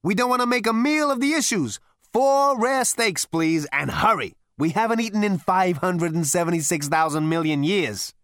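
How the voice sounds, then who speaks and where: Male reader's loud, high, bossy alien braggart in a storybook reading. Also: normal speed, hardly any pauses, no room sound, studio quality. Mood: impatient. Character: brash, hungry, swaggering alien man.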